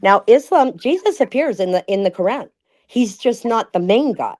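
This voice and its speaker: A woman in a casual livestream discussion, thinking aloud with many restarts and reading passages out slowly. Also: fairly high pitch, animated, a little mellow.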